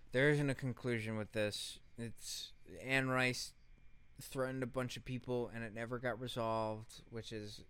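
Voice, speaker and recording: A man's voice: medium pitch, fairly bright tone, smooth. A man co-hosting a podcast, telling a story in casual commentary with an emphatic, sarcastic manner and casual swearing. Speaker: podcast co-host.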